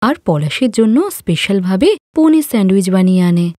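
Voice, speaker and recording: Expressive woman storyteller, close and dry. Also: medium pitch, a little fast, no room sound. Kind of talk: scripted story narration.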